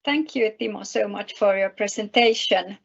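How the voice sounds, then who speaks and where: A woman's voice: mid-range voice, moderate pace, creaky, slightly rough. A woman from Finland with a Finnish accent, politely and formally introducing speakers as moderator of an online webinar. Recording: dull, lo-fi webinar audio.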